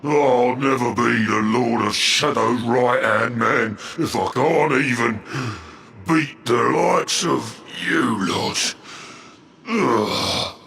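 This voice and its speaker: An actor playing a pompous, scheming, hot-tempered monster boss in a video game, with theatrical, gloating delivery and sudden shouts. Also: male voice, medium pitch, gravelly, heavily acted. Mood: bitter, dejected. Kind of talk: video game cutscene acting.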